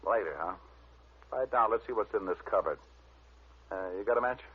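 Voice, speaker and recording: A man's voice: lowish voice, normal speed, husky. An actor playing a sardonic, wisecracking male private detective who also narrates in 1950s radio drama style. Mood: wry banter. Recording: narrow, tinny old-time radio recording.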